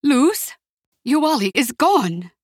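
A woman's voice: on the high side, expressive, moderate pace, no echo, studio quality, pitched above the performer's own voice. A woman voicing an anxious older aunt from Mexico, with a trembling, pleading manner and a touch of disapproval. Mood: worried.

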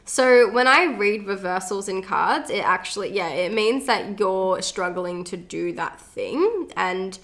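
Woman podcast co-host, effusive and upbeat, a little nasal, mid-pitched voice. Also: expressive, measured pace, few pauses, fairly bright tone. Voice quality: slightly nasal today. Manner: enthusiastic, effusive, guiding the listener. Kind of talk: casual spiritual podcast chat.

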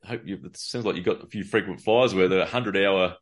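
Male host, lowish voice, halting and filler-heavy interviewer. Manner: hesitant, many fillers. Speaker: podcast host. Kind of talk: interview conversation.

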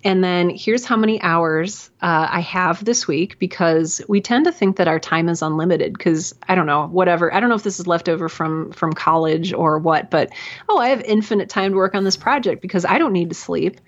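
Low-voiced woman chatting informally, lo-fi sound. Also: moderate pace. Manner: informal, thinking aloud, self-deprecating. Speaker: podcast guest, self-employed creative professional. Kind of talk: conversational interview answers. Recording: dull, lo-fi audio.

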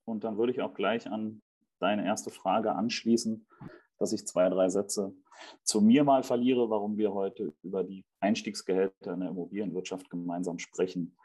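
A man's voice: lowish voice, steady tone, normal speed, dark tone. A man in his late twenties from Germany, answering as a podcast guest in a conversational style. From Berlin, Germany. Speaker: podcast guest, real estate investment manager.

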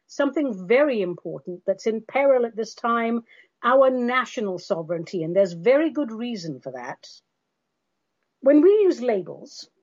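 A woman's voice: a little high, darkish tone. London woman, podcast host, theatrical and sardonic, calls listeners darlings; lo-fi recording. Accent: London English. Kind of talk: monologue podcast.

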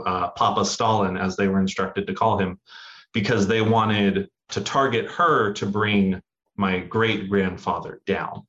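Young man guest, low voice, dull lo-fi line, earnest storyteller. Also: a bit bright. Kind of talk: interview answers. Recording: dull lo-fi audio.

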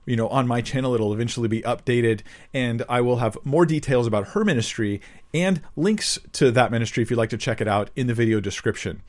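A man's voice: medium pitch, darkish tone. A man who is a pastor and Bible teacher, explaining his work earnestly as a guest on a video call. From Southern California. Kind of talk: interview guest answering questions.

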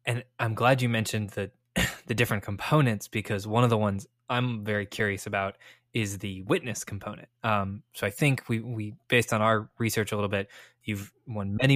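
A man's voice: lowish voice, a bit bright, no echo, clean studio sound. A man co-hosting a podcast, asking long, rambling questions that he corrects as he goes. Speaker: podcast co-host. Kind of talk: interview questions.

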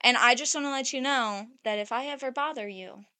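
Co-host, woman in her twenties, slightly high and bright, blunt, rambling and animated. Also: fairly quick. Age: 20s. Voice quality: loose, swearing, rambling with fillers. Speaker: podcast co-host. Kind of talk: casual chat.

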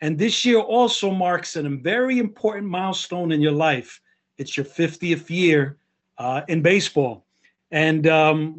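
A man hosting a podcast interview, speaking in a measured, earnest way with occasional fillers. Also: on the high side. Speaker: podcast host. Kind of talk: warm formal interview introduction.

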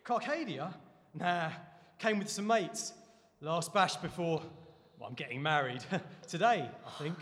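A man's voice: a little high, expressive, unhurried, fairly bright tone, in a large hall. An actor playing an easygoing, laughing young man in an audio drama. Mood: chatty. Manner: chummy, easygoing, laughs a lot. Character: cheerful laddish man, dazed groom-to-be.

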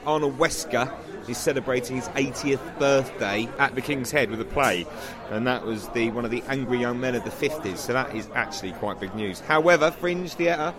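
A man with a mid-range voice co-hosts a casual review podcast. He speaks with dry, teasing banter, and a busy pub crowd chatters around him. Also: mid-range voice. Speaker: podcast co-host.

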